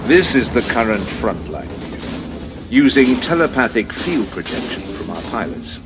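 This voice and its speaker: A man playing a composed Time Lord officer in an audio drama, delivering a crisp, explanatory briefing. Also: medium pitch, big pitch swings, moderate pace, close to an everyday voice. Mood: measured. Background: music.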